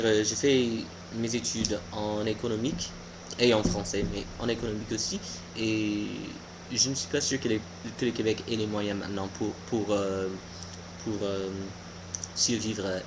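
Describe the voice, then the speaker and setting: A young American man, a native English speaker, giving an informal opinion monologue haltingly, searching for words. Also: lowish voice, noisy background. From United States. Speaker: video blogger.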